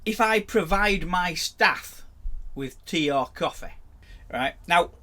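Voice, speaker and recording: Chatty blunt man, fairly high. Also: expressive, takes it slowly, bright, forceful tone. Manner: chatty, blunt, repeats words when starting sentences. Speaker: podcast co-host, tattooist. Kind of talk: casual chat.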